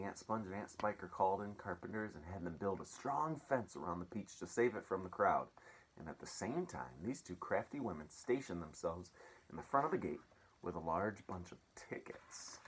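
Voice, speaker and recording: A man reading a children's story aloud as an expressive storyteller. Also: deep voice, moderate pace, husky.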